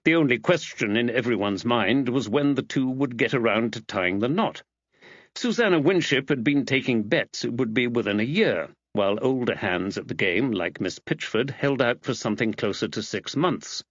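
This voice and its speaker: Expressive male audiobook narrator. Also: medium pitch, normal speed, no echo, lo-fi sound. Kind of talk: scripted mystery novel narration.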